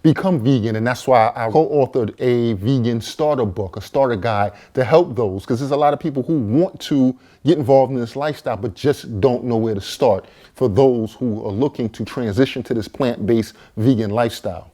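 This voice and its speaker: Mellow-voiced man in his forties. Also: medium pitch, moderate pace, few pauses. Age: 40s. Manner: casual, conversational, with frequent fillers and repeated words. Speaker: vegan chef and co-author of a starter book. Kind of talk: personal testimony to camera.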